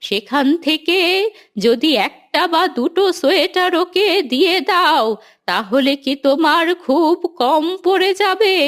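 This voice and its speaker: A woman voicing a kindly, patient grandmother who gently admonishes her grandson in a moral cartoon. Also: very high voice, full character voice. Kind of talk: Islamic moral cartoon dialogue.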